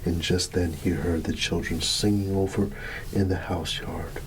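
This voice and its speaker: A man's voice: low voice, steady tone, unhurried. A man telling an ASMR bedtime story in a soothing, partly whispered voice, with chatty asides to listeners. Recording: clear background ambient noise.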